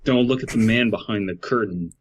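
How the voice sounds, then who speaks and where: A man's voice: on the low side, fairly quick, breathy edge. A man co-hosting a podcast with casual, playful, mock-insistent comedic riffs. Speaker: podcast co-host.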